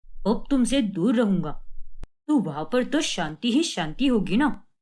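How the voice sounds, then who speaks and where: A woman's voice: on the high side, big pitch swings, measured pace, darkish tone. Woman acting a taunting, theatrical cartoon father. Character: sarcastic middle-aged father, protective, teasing, firm.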